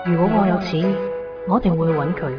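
A woman's voice: fairly low pitch, steady tone, quick pace, lightly acted. A young woman with a Hong Kong Cantonese accent acting a torn, guilty lover in a radio drama, speaking in a subdued, hesitant way. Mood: wistful. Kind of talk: radio drama dialogue and inner monologue. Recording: dull radio-drama recording, music behind.

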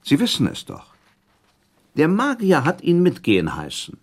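A man acting in a German radio play as a haughty, evasive aristocratic gentleman under accusation, with formal, defensive delivery and a husky voice. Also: a little high, wildly swooping pitch, normal speed, heavily acted.

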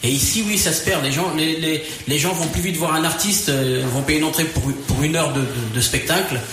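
A man's voice: on the high side, a little fast, a bit bright. A man, a musician and guest on a radio show, talking earnestly with repeated restarts. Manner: earnest, repetitive, stammering restarts. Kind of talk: casual radio chat. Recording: very echoey room with faint noise.

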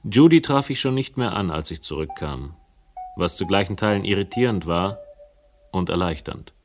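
A world-weary investigator with a very low voice. He tells his own case in a radio crime play, wry and literary in his phrasing. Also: expressive, normal speed, faint music behind. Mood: brooding, wry. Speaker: narrator and protagonist. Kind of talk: radio crime drama narration.

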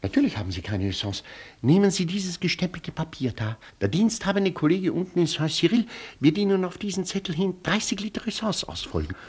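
An actor in a German radio play playing a pedantic, officious French police sergeant who talks in pompous bureaucratic language. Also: male voice, high voice, sing-song, a little fast, breathy edge, exaggerated voice. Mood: brusque, helpful.

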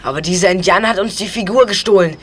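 A performer playing an excitable, curious boy detective with an urgent, eager delivery in a German audio drama. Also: mid-range voice, fast, lightly acted.

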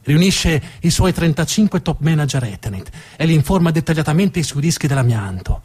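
A man performing dramatic scripted narration as a theatre storyteller, with a deliberate, emphatic delivery and dramatic pauses. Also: on the high side, very animated, darkish tone, breathy edge.

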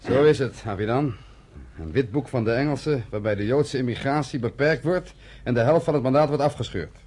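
Actor as husky, militant, uncompromising leader. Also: male voice, medium pitch, a little fast, a little mellow, close to an everyday voice. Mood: hard, indignant. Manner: vehement, emphatic. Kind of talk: Dutch radio play acting.

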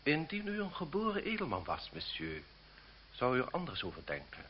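A muffled, noisy old radio play recording carries a haughty marquis. He is a cruel, mocking aristocrat with pompous, formal phrasing and a pitch that swings freely. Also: wildly swooping pitch, fairly quick. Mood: disdainful. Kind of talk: Dutch radio drama acting.

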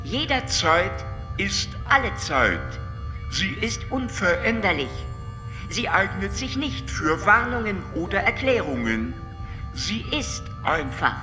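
A woman playing a calm, all-knowing alien in a radio drama, measured and lecturing, sometimes sticking on syllables. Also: mid-range voice. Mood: detached, explanatory. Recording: long reverb, music behind.

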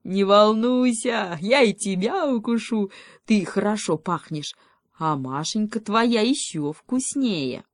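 Woman acting out a loud, cocky young wolf cub. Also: mid-range voice, animated, slow, dry recording, studio quality, pitched above the performer's own voice. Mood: taunting. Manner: loud, exclaiming, taunting. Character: boastful, bullying young wolf cub, easily panicked.

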